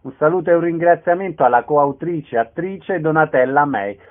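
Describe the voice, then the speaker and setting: A man's voice: fairly high pitch, lively intonation, moderate pace. A man hosting a casual radio interview, asking questions and making announcements. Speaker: interviewer, host. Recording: noisy, tinny telephone-like line with clicks.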